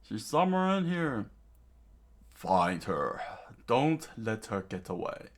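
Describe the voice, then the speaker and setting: A demented bandit kidnapper, played by a man in an adventure tale read aloud. He leers and taunts with gleeful menace, his pitch swinging widely. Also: very animated, slow pace. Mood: leering menace. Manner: taunting, exclaiming, cackling.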